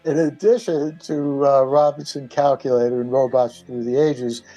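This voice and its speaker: An older man speaks as a guest on a radio discussion. His voice is mid-pitched and his talk is casual, drifting into stories, with faint music underneath. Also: steady tone, moderate pace, mellow, low-quality recording. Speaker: radio panel guest, author.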